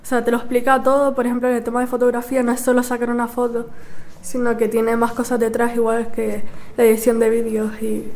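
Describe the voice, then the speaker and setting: A woman's voice: fairly high pitch, completely flat delivery, fairly quick, darkish tone. A young woman from Gran Canaria, a workshop participant, giving casual interview answers with fillers.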